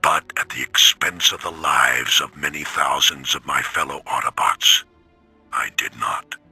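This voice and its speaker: A grave old machine elder chronicling loss for a video game. The voice is gravelly and intensely forceful, stately and theatrical, over faint music. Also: male voice, a little slow, exaggerated voice. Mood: regretful, grave. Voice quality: deep, gravelly. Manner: stately, mournful, oratorical. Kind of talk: theatrical scripted audio log.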